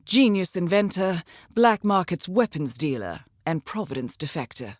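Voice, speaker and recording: A poised female intelligence handler from a stealth video game. Her voice sits a little low and moves expressively through formal, measured briefings with dry, wry amusement. The recording is very dry and free of room sound. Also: big pitch swings, no echo. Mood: composed briefing. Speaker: mission handler.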